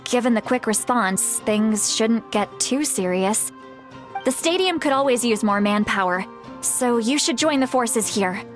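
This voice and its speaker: Casting: earnest, responsible young female game warrior, higher-pitched, sincere delivery. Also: lively intonation, measured pace. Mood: resolute. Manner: sincere, resolute. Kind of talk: English video game voice acting. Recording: soft game music behind the voice.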